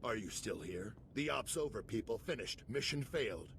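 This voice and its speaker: A man playing a gruff, world-weary veteran commander in a game, gravelly, curt and sardonic. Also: lowish voice, lively intonation, moderate pace, a bit bright, slight background noise. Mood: resigned, curt. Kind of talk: video game cutscene acting. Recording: music behind the voice.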